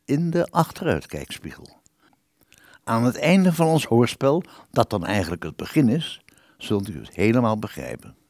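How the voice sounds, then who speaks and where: A man's voice: mid-range voice, sing-song, moderate pace, a little mellow, no echo, clean studio sound. A man narrating a scripted radio play as its announcer.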